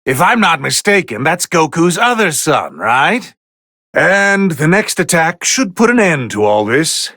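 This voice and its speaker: A man playing a haughty, bored god of destruction who commentates on video game fights in a condescending, sardonic, theatrical drawl with a gravelly edge. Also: a little high, very animated, a little slow, sharp tone, no echo, clean studio sound, full character voice. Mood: detached, critical. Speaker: match commentator.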